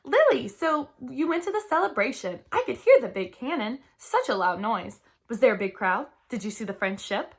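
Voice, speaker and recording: A woman voicing an excitable, chattering neighbour woman from a children's storybook, with emphatic delivery. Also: a little high, normal speed, hardly any pauses, husky, exaggerated voice. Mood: excited, curious.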